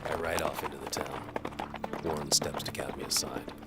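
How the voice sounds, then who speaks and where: A man's voice: extremely low pitch, flat delivery, normal speed, heavy background noise. A man narrating a fantasy audio drama in the first person, as a dramatic, hard-boiled storyteller. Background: music.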